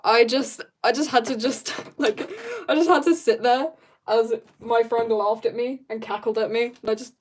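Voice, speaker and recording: A young woman with a fairly high voice chats informally to viewers while she draws. Her talk is rambling and slangy, full of asides and giggly in manner. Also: slight background noise. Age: teenager or young adult. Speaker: streamer drawing and chatting. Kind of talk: casual livestream chat.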